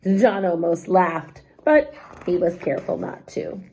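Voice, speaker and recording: A woman reading a children's story aloud expressively, pausing to explain things simply to young listeners. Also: fairly low pitch, a little hiss or hum, rough recording. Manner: animated, unhurried, explains words simply to children.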